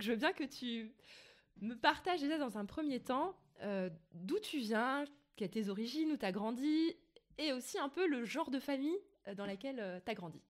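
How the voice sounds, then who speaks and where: A woman hosting a podcast, introducing her guest and asking questions in a friendly, upbeat way. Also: on the high side. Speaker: podcast host.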